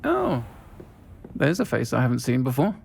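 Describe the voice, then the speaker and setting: A man's voice: mid-range voice, wildly swooping pitch, moderate pace, vocal fry, background noise, heavily acted. An actor with a man's voice playing an unflappable pub landlady in a game, assured and dry. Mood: friendly. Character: welcoming, unflappable pub landlady witch, protective and firm.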